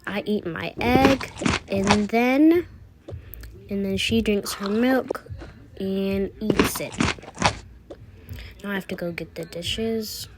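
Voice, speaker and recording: A woman's voice: mid-range voice, noisy background. A woman casually improvising narration for a toy-app roleplay video, thinking aloud through each small action. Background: music.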